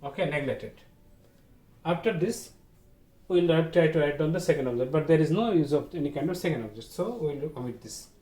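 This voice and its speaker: A man with a Bangladeshi accent teaches in a high, very animated voice. He repeats key phrases and puts questions that he answers himself, in the manner of a school lesson. The sound is echoey, as from a room. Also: fairly quick. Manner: didactic, repetitive, asks and answers own questions. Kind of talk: online grammar lesson. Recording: roomy echo, distant mic, faint noise.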